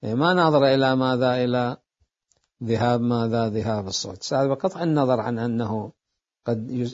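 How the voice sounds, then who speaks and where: Deliberate man lecturing, dull lo-fi recording. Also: mid-range voice, darkish tone. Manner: deliberate, repeats phrases to explain. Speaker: seminary jurisprudence teacher.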